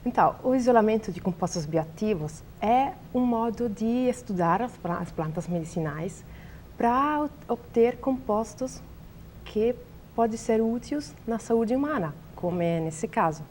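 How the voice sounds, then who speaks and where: A young Italian woman with an Italian accent, a biologist answering interview questions in a language she is still learning, pausing often to search for words. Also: a little high, animated, darkish tone, slight background noise. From Italy. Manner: hesitant, searching for words, many fillers.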